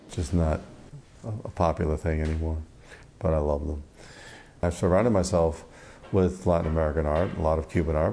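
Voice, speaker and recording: An older man, a poet from Cuba raised in Miami, speaks in a very deep voice with a gravelly fry. His phrasing is thoughtful and deliberate, reflective in tone. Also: warm tone, slight background noise. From Cuba, came to the US as a child and grew up in Miami. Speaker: poet and art critic. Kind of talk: reflective interview talk and poetry reading.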